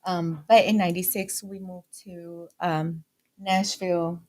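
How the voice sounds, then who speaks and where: A woman's voice: on the low side, flat delivery, measured pace. A woman, a talk-show guest telling her life story candidly, with Spanish phrases mixed into her English. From Puerto Rico. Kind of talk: casual interview.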